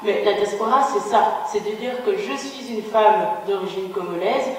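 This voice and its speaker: A woman's voice: medium pitch, moderate pace, a little mellow. A woman from Belgium, giving an earnest, faltering speech at a conference, often restarting her phrases. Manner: earnest, searching, many restarts and fillers. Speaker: conference participant. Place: large parliament hall. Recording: reverberant hall, distant mic, faint room noise.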